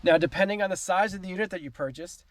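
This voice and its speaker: A man's voice: high voice, fast. A man hosting a car DIY video, talking viewers through an installation in a casual, conversational, explanatory way. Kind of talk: casual how-to walkthrough.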